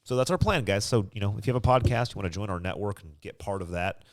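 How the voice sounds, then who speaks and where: A man's voice: lowish voice, fast talker, no echo. A man co-hosting a podcast in casual chat, enthusiastic and given to exclamations. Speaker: podcast co-host.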